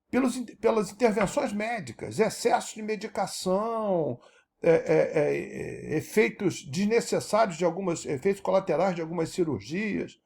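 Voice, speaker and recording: A man, giving a lecture as an animated teacher who keeps checking that his audience follows. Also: high-pitched, vocal fry. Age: 70s. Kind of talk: recorded university-style lecture.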